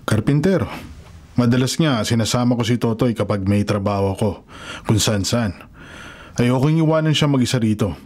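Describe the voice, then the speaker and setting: A man's voice: medium pitch, normal speed, a little mellow, lightly acted. A man playing a kindly, devout carpenter and grandfather in a radio drama, speaking earnestly with a Filipino accent. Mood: warm, hospitable.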